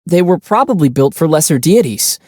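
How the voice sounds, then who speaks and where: A man voicing a calm, gentle young traveler hero in a video game, speaking thoughtfully with mild teasing. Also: fairly high pitch, no room sound, studio quality. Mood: calm, explanatory. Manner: measured, thoughtful, mildly teasing. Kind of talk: video game character voice lines.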